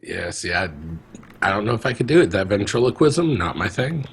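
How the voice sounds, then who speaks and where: A man co-hosting a podcast with a deep, calm voice, chatting casually. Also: a little fast, vocal fry, a little hiss or hum. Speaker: podcast co-host. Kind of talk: casual chat.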